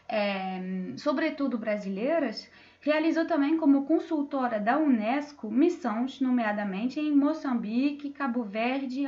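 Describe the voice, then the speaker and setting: A woman's voice: high-pitched, muffled recording. A woman moderating an online panel, introducing guests with many fillers and restarts, over a video call. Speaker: debate moderator.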